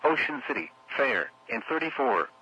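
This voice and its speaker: Synthetic, bright-voiced male weather radio announcer. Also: mid-range voice, very animated, normal speed, slightly rough, rough recording. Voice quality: synthetic text-to-speech voice. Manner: formal, clipped, evenly phrased reading. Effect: radio broadcast. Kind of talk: scripted weather forecast readout.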